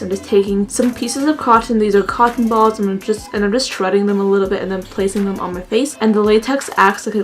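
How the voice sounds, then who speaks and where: A young woman vlogger chatting casually through a makeup tutorial, rambling along with plenty of fillers. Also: mid-range voice.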